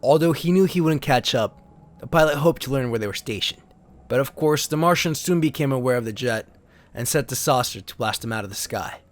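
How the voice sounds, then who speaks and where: A man's voice: mid-range voice, expressive, a little fast. A man giving a theatrical, solemn dramatic reading of pulp science fiction stories, as a narrator. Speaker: narrator and host. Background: sound effects and ambience.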